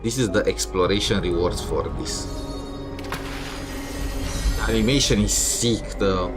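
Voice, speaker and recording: Low-voiced sardonic man streaming a game. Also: unhurried. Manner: dry, sardonic. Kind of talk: casual gameplay commentary, some reading aloud. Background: game music.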